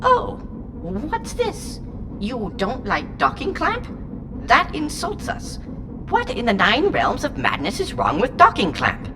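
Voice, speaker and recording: A woman's voice: high-pitched, wildly swooping pitch, takes it slowly, full character voice. A woman voicing an ancient, whimsical and haughty alien entity inside a small robot in an audio drama, speaking in theatrical, rambling, pompous riddles. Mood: indignant, huffy. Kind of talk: full-cast audio drama acting. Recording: clear noise bed behind the voice.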